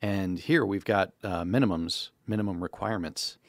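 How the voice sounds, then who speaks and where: A man with a fairly low voice speaks as a podcast co-host. His talk is informal and explanatory, recorded dry and close on a studio microphone. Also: moderate pace, dry recording. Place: small indoor podcast studio. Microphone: close boom-arm studio microphone.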